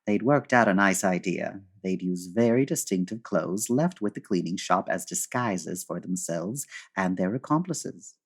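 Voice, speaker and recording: Man acting as witty gentleman sleuth, husky voice, lively swings in pitch, suave and wry, radio detective drama. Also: measured pace, few pauses, full character voice. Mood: calm, explanatory.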